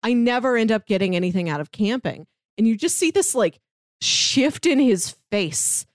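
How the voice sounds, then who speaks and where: A woman with a fairly high voice recaps a story in casual podcast chat. She talks animatedly, acts out quotes in little voices and throws in quick asides. The sound is dry and close, with no room echo. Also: animated. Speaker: podcast co-host.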